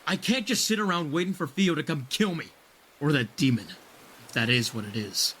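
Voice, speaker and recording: A man's voice: fairly high pitch, expressive, fairly quick, fairly bright tone, a little hiss or hum. An actor playing an earnest, determined man who insists on pressing ahead, in an audio drama. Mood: resolute.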